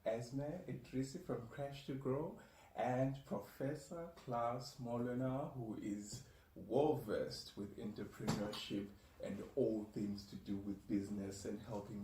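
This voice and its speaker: A man's voice: mid-range voice, mellow. Echoey male podcast host, slightly distant. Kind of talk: conversational podcast interviewing. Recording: echoey room, distant mic, faint noise.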